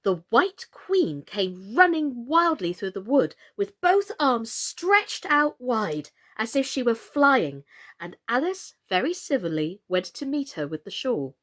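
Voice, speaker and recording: A woman reading a children's story as an expressive narrator. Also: fairly high pitch, slow. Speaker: storyteller and reader.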